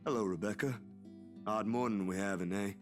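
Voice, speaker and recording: A man's voice: lowish voice, a little fast, music in the background, natural voice. An actor playing an easygoing, earnest, slightly wry young man in a visual novel.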